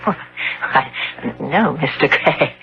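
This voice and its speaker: A woman's voice: sits low, expressive, slow pace, creaky, exaggerated voice. Polite charity lady, muffled old radio. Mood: uneasy. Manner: formal, courteous. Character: polite, earnest charity lady, increasingly uneasy. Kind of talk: 1970s radio drama acting. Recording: muffled, noisy 1970s radio recording.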